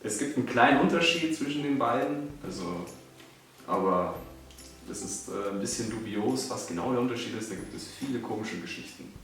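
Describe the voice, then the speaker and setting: A man's voice: medium pitch, lively intonation, fairly quick, a bit bright. A young man from Bavaria with a Bavarian German accent, chatting casually and making dry, joking asides. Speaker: guest. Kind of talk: casual chat. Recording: echoey room, mic at a distance, faint music.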